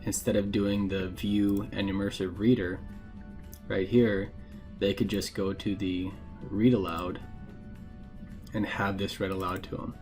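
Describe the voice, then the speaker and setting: A man narrating a casual software tutorial in a plain, informal, explanatory way. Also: on the low side, flat delivery, a little slow, soft background music. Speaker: instructor giving a software tutorial.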